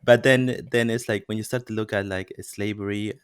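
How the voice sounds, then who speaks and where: A man's voice: fairly low pitch, fairly even intonation, moderate pace, clean tone. A man from Peru hosting a podcast, chatting casually with many fillers and restarts. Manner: rambling, many fillers and restarts, laughs often. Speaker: podcast host. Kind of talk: casual interview chat.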